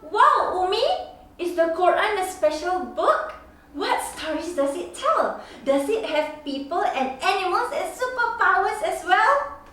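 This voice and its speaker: Woman doing a curious little boy, eager questioning, bouncy singsong pitch, slow, roomy echo. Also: expressive, a bit bright, a little hiss or hum, heavily acted. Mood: excited. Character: curious young boy asking his mother questions. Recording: echoey room, mic at a distance.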